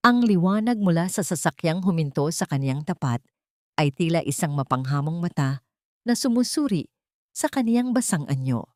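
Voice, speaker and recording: Female audiobook narrator, Filipino accent, low pitch, dramatic scripted storytelling, very dry sound. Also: expressive, moderate pace, darkish tone, no echo.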